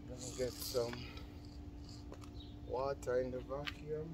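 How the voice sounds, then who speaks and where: Man, Jamaican accent, casual vlog chat, slow pace, repetitive phrasing, outdoor phone audio, heavy noise. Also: medium pitch, steady tone. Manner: repetitive, chatty phrasing addressed to viewers. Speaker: vlogger. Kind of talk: casual vlog narration while doing chores. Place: outdoors in a backyard by a pool. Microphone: phone camera, often at a distance. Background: loud outdoor ambient noise.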